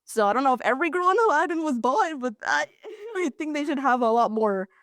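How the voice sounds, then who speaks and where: A teenage girl hosting a student podcast, chatting casually with lots of filler words and lively opinions. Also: high voice, brisk, no echo, studio quality. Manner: chatty, many filler likes. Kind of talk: casual chat.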